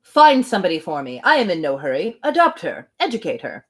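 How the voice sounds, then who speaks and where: Woman voicing young gentleman, flippant, playful, animated swings in pitch, formal storybook dialogue. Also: fairly quick, slightly rough, full character voice. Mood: lively, teasing. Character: lively, flippant young gentleman in a classic novel. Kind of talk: storybook read aloud.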